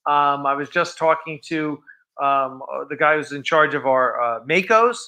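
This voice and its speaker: A man's voice: a little high, normal speed, bright, forceful tone. A man hosting a casual livestream, chatting in a jokey, talkative way. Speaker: livestream host. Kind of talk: casual live chat.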